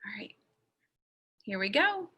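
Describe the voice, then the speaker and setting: A young woman teacher recording a writing lesson for small children, sounding out each word slowly and stretching the letter sounds. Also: mid-range voice, very animated, bright, forceful tone, breathy edge, highs cut off.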